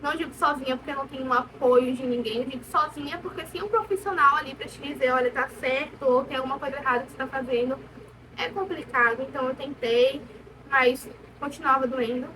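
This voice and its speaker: A young Brazilian woman with a high voice speaks casually and candidly, as a vlogger sharing her own experience. She leans on repetition for emphasis. The recording sounds distant from the mic, with clear room noise. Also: fast. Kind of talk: casual personal storytelling to camera.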